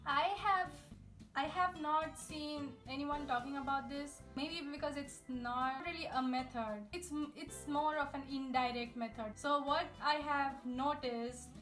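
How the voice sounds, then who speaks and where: A woman's voice: sits high, fairly even intonation, measured pace, fairly bright tone. A young woman, chatting upbeat language-learning tips straight to her viewers. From India. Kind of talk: casual tips video to camera. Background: guitar music.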